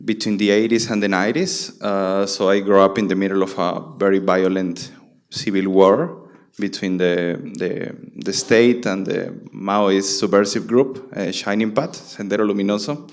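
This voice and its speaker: A man in his late thirties from Peru, a curator speaking on a panel in a halting way, with many fillers and restarts. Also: deep voice, fairly even intonation. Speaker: guest curator. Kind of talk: panel conversation.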